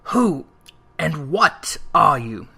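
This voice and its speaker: A man reads as an old gentleman in a storybook tale. He is uneasy and timid, addressing others with questioning formality. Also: on the high side, very animated, extremely slow, vocal fry, breathy edge, heavily acted. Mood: wary, questioning.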